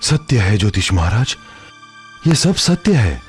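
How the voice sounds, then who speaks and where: A man's voice: lowish voice, very animated, measured pace. A man voicing a kindly, middle-aged village chief and protective father in a cartoon mythological drama, earnest and formal. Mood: troubled, hesitant. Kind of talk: animated mythological drama dub. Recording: background music under dialogue.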